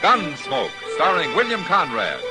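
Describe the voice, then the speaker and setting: A man's voice: fairly high pitch, huge pitch swings, a little slow, loud music behind the voice. An American man giving a dramatic, declamatory old-time radio introduction as announcer. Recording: vintage radio recording.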